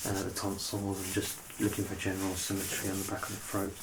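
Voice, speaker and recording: A man with a fairly low voice performs an ASMR doctor roleplay. He instructs softly and reassuringly, as if guiding a patient through an exam. Clear background noise and ambience sit behind his voice. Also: completely flat delivery, fast.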